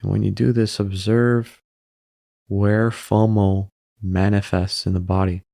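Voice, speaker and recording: A man narrating a guided meditation in a calm, unhurried way, pausing between short phrases. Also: fairly low pitch, vocal fry, dry recording, clean studio sound.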